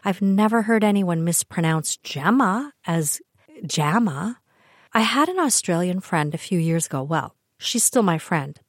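A woman's voice: on the low side, dry recording, studio quality. Wry older Canadian woman telling stories. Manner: chatty, wry, animated asides. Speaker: podcast host. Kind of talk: personal storytelling monologue.

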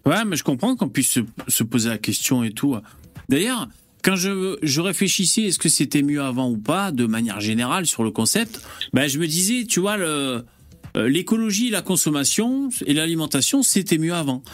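A man's voice: fairly high pitch, big pitch swings, normal speed, no echo, faint music behind. A man in his forties hosting a casual live talk show, musing aloud in a conversational way, full of fillers. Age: 40s. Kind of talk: casual chat.

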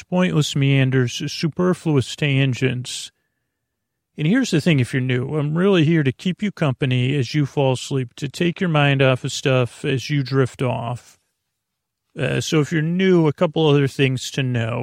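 A man hosting a bedtime podcast, rambling through goofy tangents and self-interruptions in a soft, creaky voice. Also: medium pitch, dry recording, clean studio sound. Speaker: podcast host.

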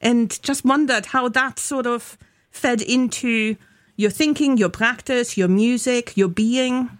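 A woman interviewer with a non-British accent, asking thoughtful, measured questions. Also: fairly high pitch. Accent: non-British, European. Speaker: interviewer host.

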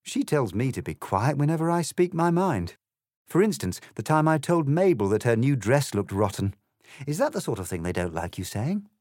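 A man's voice: mid-range voice, big pitch swings, moderate pace, darkish tone, dry recording, studio quality, voice pushed up, close to an everyday voice. A man voicing a prim, polite young girl who speaks in a genteel, earnest and fretful way. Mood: curious.

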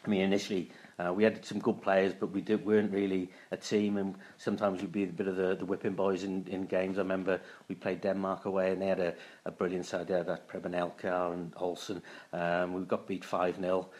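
A man in his late fifties from Wales, a former footballer, talking through his career in a casual interview, often pausing and restarting his sentences. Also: deep voice, little pitch movement, darkish tone. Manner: halting, many restarts and fillers.

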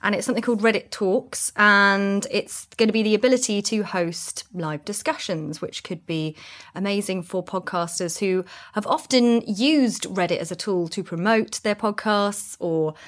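A woman's voice: mid-range voice, normal speed. A woman co-presenting a podcast, explaining things in a casual, chatty panel discussion.

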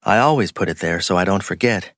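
A man's voice: sits low, animated, quick pace, fairly bright tone, no echo, clean studio sound. A man voicing a polite, guarded working man, matter-of-fact, in an audiobook story. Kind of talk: acted audiobook dialogue.

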